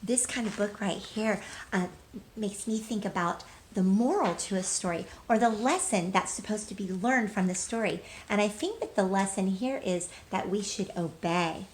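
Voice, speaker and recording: A woman's voice: mid-range voice, moderate pace, a little hiss or hum. A woman teacher reading a storybook aloud to children, speaking to them directly and expressively, with emphasis on key words. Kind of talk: children's storybook read-aloud with questions to young listeners.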